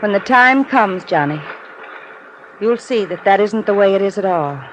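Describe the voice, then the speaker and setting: A woman playing an earnest, wistful young woman in love in an old-time radio drama, speaking with halting, dramatic feeling. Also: medium pitch, animated, close to an everyday voice. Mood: hurt. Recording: muffled, very noisy vintage radio recording.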